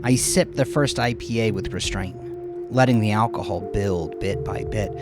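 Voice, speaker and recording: A man narrating a first-person dramatic audio story in a confessional, conversational manner with dramatic pauses. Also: lowish voice. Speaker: narrator of his own horror story. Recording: music behind the voice. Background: background music.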